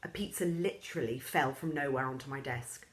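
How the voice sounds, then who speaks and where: A woman reading a children's story aloud in an expressive storybook style, acting out the characters' lines. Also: brisk. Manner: lively, acts out characters' lines with raised pitch.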